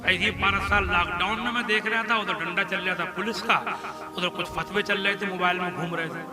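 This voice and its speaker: Maulana guy preaching in plain chatty talk, pretty high voice, some music behind him. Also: steady tone, fairly quick. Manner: conversational, checks listeners understand, mimics others' scolding. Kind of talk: colloquial Islamic sermon.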